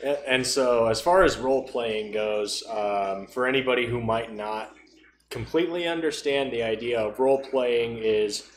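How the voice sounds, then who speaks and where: A man talks casually on a podcast, thinking out loud in a halting way. His voice sits at a middling pitch in a roomy, echoing space, with faint noise underneath. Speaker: podcast co-host.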